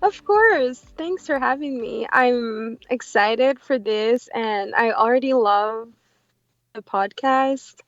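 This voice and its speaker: A woman's voice: fairly high pitch, takes it slowly, dry recording, faint music behind. A woman in her twenties with a Cameroonian accent, chatting casually as an interview guest on a slightly muffled recording. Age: 20s. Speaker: podcast guest, entrepreneur.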